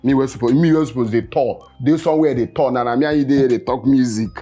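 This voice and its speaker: A man's voice: mid-range voice, fast, dark tone, breathy edge, soft background music, lightly acted. An actor playing a volatile, boastful male kidnapper and would-be musician, with a Nigerian accent and a scolding, repetitive way of talking. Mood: angry, boastful. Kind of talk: film acting, speaking Nigerian Pidgin.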